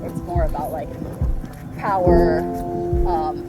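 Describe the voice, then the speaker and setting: A woman in casual chat, speaking in a halting, meandering way as she searches for her words. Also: sits low, fairly even intonation, low-quality recording. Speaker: host. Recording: music playing over the voice.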